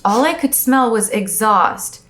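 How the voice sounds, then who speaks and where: A woman's voice: on the high side, animated, unhurried, a bit bright. A young woman co-hosting a comedic book recap, reading passages aloud and adding jokey, playful asides. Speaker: podcast co-host.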